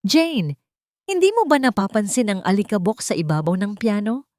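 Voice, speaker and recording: A woman's voice: medium pitch, very animated, normal speed, a little mellow, dry recording, clean studio sound, voice pushed up, lightly acted. Woman voicing a haughty, scolding rich lady. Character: haughty judgmental woman, suspicious sister-in-law.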